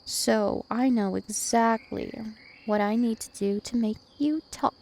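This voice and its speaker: A woman's voice: on the high side, a little slow, a little mellow. A woman voicing a dominant, taunting, ancient vampire princess in an ASMR roleplay, with haughty, teasing menace. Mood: coolly threatening. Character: two-thousand-year-old vampire princess, female, dominant, taunting, imperious.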